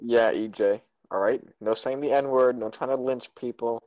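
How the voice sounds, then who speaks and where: A man's voice: medium pitch, fairly even intonation, normal speed, darkish tone, highs cut off. A young man co-hosting a podcast, joking around and getting defensive with his friends. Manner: defensive and jokey. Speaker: podcast co-host. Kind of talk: casual banter among friends.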